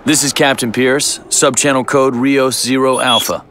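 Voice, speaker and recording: An actor playing a grim, battle-weary military captain in a sci-fi motion comic, terse and commanding. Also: male voice, mid-range voice, fairly even intonation, normal speed, fairly bright tone, a little hiss or hum, lightly acted. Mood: curt, guarded.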